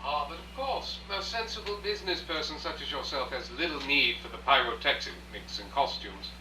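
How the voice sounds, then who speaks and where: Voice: medium pitch, normal speed, lightly acted. An actor playing an urbane genie with polished, condescending courtesy in a live radio drama. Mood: smooth, courteous. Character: suave, urbane genie catering to business clients. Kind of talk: stage radio drama acting. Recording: roomy far-off rehearsal recording, faint hiss.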